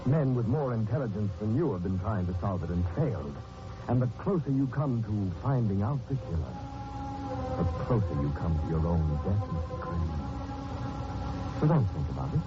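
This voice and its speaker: An actor as the host and narrator of a 1940s radio mystery, speaking with dramatic, emphatic delivery. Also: male voice, on the low side. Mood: ominous. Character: ominous, taunting male radio mystery narrator. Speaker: host, narrator and product announcer. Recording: muffled 1940s radio recording with music.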